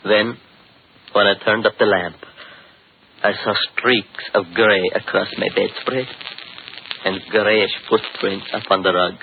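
An actor playing a haunted, polite but fearful count in 1940s radio mystery style, speaking formally and dramatically. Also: male voice, lowish voice, steady tone, a little slow, vocal fry, pauses often, slight background noise. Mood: haunted, dread. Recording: muffled, lo-fi 1940s radio recording.

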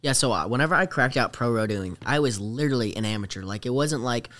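A man's voice: medium pitch, animated, fast talker, a bit bright. A young man chatting casually as a podcast guest, talking in a hesitant, rambling, slangy way. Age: late teens to young adult. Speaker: rodeo rider, podcast guest.

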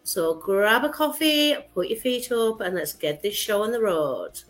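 A woman hosting a podcast interview, talking in an upbeat, brisk, no-nonsense way. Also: mid-range voice, expressive, faint music behind. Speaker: podcast host. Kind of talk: casual interview chat. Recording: echoey room.

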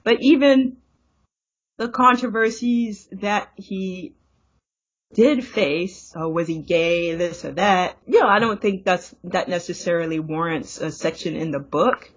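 A woman co-hosting a podcast, giving measured, analytical opinions in casual conversation. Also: lowish voice, husky. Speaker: podcast co-host. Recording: dull lo-fi recording.

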